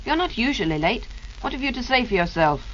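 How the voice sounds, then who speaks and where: A woman presenting a children's radio show in a brisk, teacherly manner. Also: a little high, very animated, fairly bright tone, dull audio. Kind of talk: scripted children's radio banter. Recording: crackly old 1930s radio recording.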